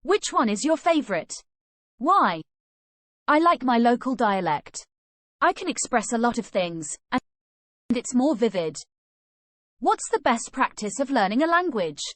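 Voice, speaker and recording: A woman's voice: a little high, sing-song, unhurried, pauses often, a bit bright, no echo. A woman reading a scripted language lesson aloud, moving from sample questions and answers to study tips.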